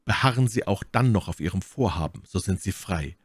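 Brief: male reader as an earnest young officer, low and hushed, serious, dry close recording. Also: expressive, fairly quick, breathy edge, no room sound. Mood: grave. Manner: hushed, grave and pleading. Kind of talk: audiobook character reading.

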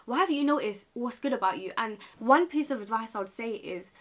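A woman's voice: a little high, expressive, fairly quick. A young woman chatting casually in a motivational way, candid and emphatic, repeating phrases to drive points home. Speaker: vlogger giving self-esteem advice. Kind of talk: casual motivational chat to camera.

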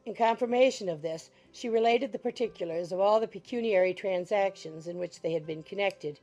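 A woman reads a classic novel aloud in a mellow, darker voice, with even and composed pacing. Gentle music plays faintly beneath the narration. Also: mid-range voice, fairly quick, highs cut off. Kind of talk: scripted audiobook narration.

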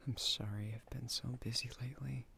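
A man playing a tender, bashful, nerdy young husband in an intimate ASMR roleplay, speaking softly and breathily, often half-whispering. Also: mid-range voice, fairly even intonation, fairly quick, creaky, a little hiss or hum. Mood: tender, reflective. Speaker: voice actor in an audio roleplay.

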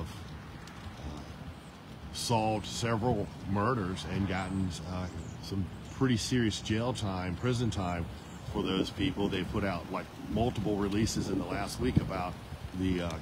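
A veteran male field reporter talking unscripted on scene, halting and repeating words with many fillers, filmed on his own phone in an outdoor parking lot. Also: low-pitched. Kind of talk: live on-scene news report. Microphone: phone held by the reporter. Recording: noisy outdoor phone recording. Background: outdoor traffic noise.

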